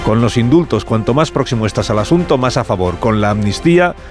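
A man's voice: medium pitch, animated, fairly quick, music in the background. A man with a Castilian Spanish accent hosting a morning radio press review, conversational, with wry asides and rhetorical questions. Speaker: radio host. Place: radio studio. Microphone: studio broadcast microphone.

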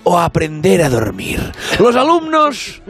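A man's voice: high-pitched, huge pitch swings, a little slow, a bit bright, exaggerated voice. A man doing a comic radio impersonation of a theatrical critic who declaims silly rhymes and puns. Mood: pompous, punning. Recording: music playing behind.

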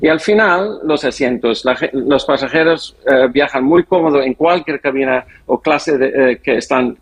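A man with a foreign accent in non-native Spanish, answering an interview haltingly and searching for words. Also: medium pitch, slightly rough, low-quality recording. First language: not Spanish. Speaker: interview guest, airline executive.

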